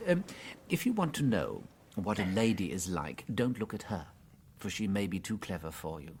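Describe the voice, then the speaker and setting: An English actor playing a gentle, unworldly but shrewd priest detective in a radio drama, hesitant and politely musing. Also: male voice, deep voice, big pitch swings, normal speed, husky, heavily acted. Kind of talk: full-cast radio drama acting.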